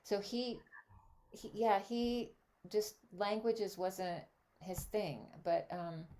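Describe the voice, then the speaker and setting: A woman's voice: medium pitch, steady tone, very slow, mellow, a little hiss or hum. Chatty older woman, German native. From Switzerland. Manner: chatty, rambling, full of asides. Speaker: guest songleader and teacher. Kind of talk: casual video chat interview.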